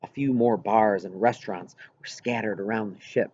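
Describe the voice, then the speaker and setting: A man reading a story aloud as an audiobook narrator, with an expressive scripted delivery. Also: on the low side, normal speed, muffled recording, slight background noise.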